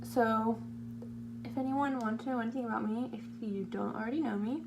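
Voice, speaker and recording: A 21-year-old woman with a slightly hoarse voice, chatting casually through a makeup tutorial in a rambling, self-deprecating way. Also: on the high side, fairly quick. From California, United States. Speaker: beauty vlogger. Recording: amateur home video, clear humming background noise.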